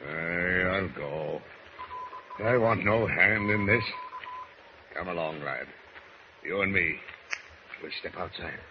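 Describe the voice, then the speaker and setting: Casting: aging, folksy first mate, low voice, old hissy radio serial sound. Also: male voice. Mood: grim, reluctant. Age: older. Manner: halting, folksy yarn-spinning. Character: gruff old one-legged sailor, first mate Barnaby. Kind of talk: 1940s radio acting. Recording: muffled, noisy old radio recording.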